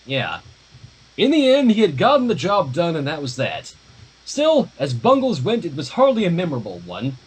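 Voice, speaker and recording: A man's voice: a little high, huge pitch swings, moderate pace, husky, rough recording. A man reading a story aloud informally on a livestream, dropping in casual asides. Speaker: livestream fan fiction reader.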